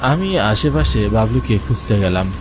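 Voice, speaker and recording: A man's voice: on the low side, lively intonation, moderate pace. A man narrating a first-person ghost story for a cartoon in a dramatic way. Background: music under the voice.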